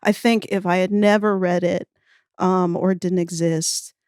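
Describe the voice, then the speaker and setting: A woman's voice: on the low side, fairly even intonation, unhurried, a little mellow, clean tone, no room sound, studio quality. A woman guest on a podcast, chatting casually and thinking aloud with a wry, talkative manner. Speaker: podcast guest, audio fiction writer. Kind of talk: casual chat.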